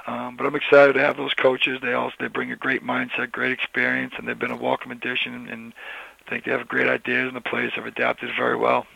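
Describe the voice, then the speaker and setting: A hoarse man, a college football head coach, answering interview questions in a rambling way with many restarts and fillers. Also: mid-range voice, completely flat delivery, fast, sharp tone, no room sound, poor audio.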